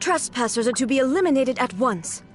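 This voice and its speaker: An actress playing a cold, commanding puppet guardian in a video game, with terse, impassive phrasing. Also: female voice, sits high, expressive, fairly quick, faint music behind. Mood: cold, dismissive.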